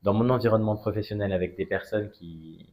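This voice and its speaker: A man in his forties with a fairly low voice speaks as a guest in a conversational interview. He is from Strasbourg in France and builds his phrases carefully, pausing and hesitating often. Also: darkish tone. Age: 40s. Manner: hesitant, measured phrasing with frequent pauses. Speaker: interview guest, cigar brand ambassador. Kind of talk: conversational interview, personal story.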